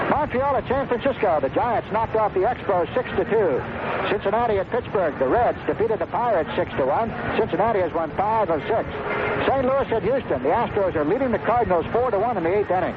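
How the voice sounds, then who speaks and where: A man calling baseball play-by-play and reading out scores in a clipped, orderly way on an old radio broadcast. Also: high-pitched. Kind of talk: live radio sports commentary and scores. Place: stadium broadcast booth. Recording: noisy 1970s AM radio broadcast, phone-like band.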